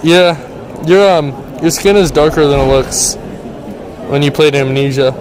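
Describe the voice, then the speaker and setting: A man's voice: a little high, moderate pace, music in the background, poor audio. A young man outdoors bantering with a friend, dry and teasing. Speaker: friend behind the camera. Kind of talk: casual banter. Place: outdoors on a campus. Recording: outdoor phone recording with crowd chatter. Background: crowd chatter.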